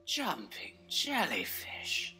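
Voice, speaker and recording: A man playing a plucky, excitable adventurer with theatrical exclamations in a children's audio adventure. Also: fairly high pitch, very slow, husky, faint music behind, full character voice. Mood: awed. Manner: theatrical, exclamatory, talks to himself.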